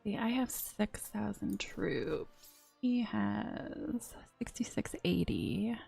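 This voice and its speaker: A woman streaming a strategy game and chatting casually, a bit sniffly from a runny nose, often dropping into soft whispered asides. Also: medium pitch, animated, takes it slowly, vocal fry, breathy edge, soft background music. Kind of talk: casual gameplay commentary.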